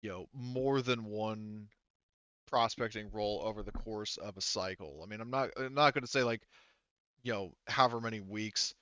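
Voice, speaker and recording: A man's voice: lowish voice, moderate pace, pushed and bright, clean tone, no room sound. A man acting as game master, casually refereeing a tabletop game and thinking aloud in a hesitant way. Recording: band-limited, dull dry audio.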